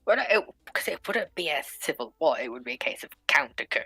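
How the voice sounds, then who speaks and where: A woman's voice: deep voice, sing-song, a little fast, sharp tone, faint music behind. A woman taking part in a casual panel discussion.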